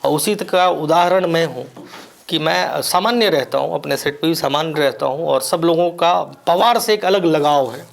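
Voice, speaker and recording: A man's voice: on the high side, gravelly. Reflective male actor from Bihar. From Bihar, India. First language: Maithili. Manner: measured, reflective, pauses often. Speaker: actor, interview guest. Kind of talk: relaxed interview answers.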